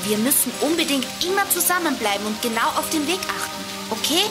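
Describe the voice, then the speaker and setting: A woman's voice: high-pitched, animated, brisk. A woman playing a bold, bossy child detective who leads a gang in a radio play. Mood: reassuring. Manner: decisive, urgent. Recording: echoey hall with music behind.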